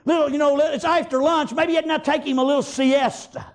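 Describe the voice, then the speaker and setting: A man preaches in a very high-pitched voice with a Southern US accent. His delivery is fervent and unscripted, moving between Bible reading and homespun retelling, punctuated with folksy exclamations. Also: fairly even intonation, brisk. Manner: folksy, exclamatory, repeats praise God and amen as punctuation.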